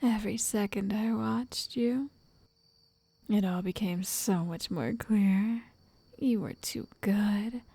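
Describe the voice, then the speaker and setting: A lovestruck yandere witch played by a woman murmurs softly to the listener in an ASMR roleplay. Her voice is fairly high yet mellow, with creaky edges, and she sounds tender, coaxing and quietly controlling. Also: dry recording, exaggerated voice. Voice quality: breathy, soft, often whispering. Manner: slow, tender, condescending endearments.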